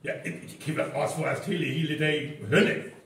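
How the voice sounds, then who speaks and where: A man playing a flustered, halting villager in a live North Frisian stage radio play. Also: on the high side, a bit bright, a little hiss or hum. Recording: very echoey hall, far from mic.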